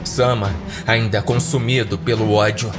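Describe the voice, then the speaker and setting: A man's voice: lowish voice, fairly even intonation, measured pace. Brazilian man narrating horror over music. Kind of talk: dramatic scripted narration.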